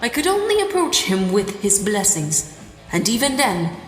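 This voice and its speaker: A woman plays a wise female zebra healer in an audio drama. The phrasing is formal and measured but rises and falls expressively, heard in long, roomy reverb over music. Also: medium pitch, big pitch swings, natural voice. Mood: calm, wary. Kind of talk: fan audio drama acting.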